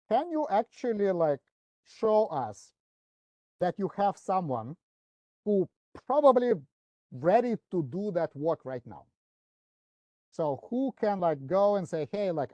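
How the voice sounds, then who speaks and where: A man talks through a business process on a webinar, circling back with constant fillers and false starts. His voice sits a bit high and sounds mellow. The call audio is dry and muffled. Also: dry recording. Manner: hesitant, many fillers and restarts. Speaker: webinar speaker. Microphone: video call.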